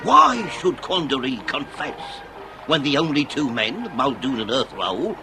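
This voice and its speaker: An actor playing a shrewd, authoritative Victorian police inspector with a British accent and a formal, probing manner in a period radio drama. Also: male voice, mid-range voice, big pitch swings, measured pace, over music, rough recording. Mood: brooding, deductive.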